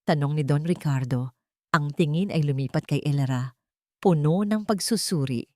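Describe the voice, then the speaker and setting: A woman's voice: sits low, moderate pace, warm tone, no room sound. A woman storyteller narrates a dramatic love story. The recording is close and dry, with no room sound. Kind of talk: scripted dramatic romance narration.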